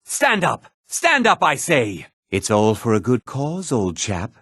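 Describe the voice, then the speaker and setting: An actor voicing a haughty, gossipy, well-to-do townsman in a fantasy video game, speaking in a measured, theatrical way with a husky voice. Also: medium pitch, huge pitch swings, fairly bright tone, dry recording, clean studio sound, full character voice. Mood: rousing, then polite, wry. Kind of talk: scripted fantasy game character dialogue.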